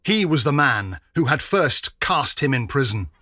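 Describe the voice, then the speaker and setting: A man's voice: medium pitch, big pitch swings, measured pace, sharp tone, dry recording, studio quality. A man narrating a serious audio drama in a grave, solemn manner.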